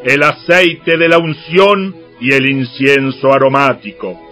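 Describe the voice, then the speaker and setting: A solemn prophet for an audio bible, really slow and forceful, kinda high voice, music behind. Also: male voice, steady tone. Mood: solemn, instructive. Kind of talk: dramatized audiobook scripture acting.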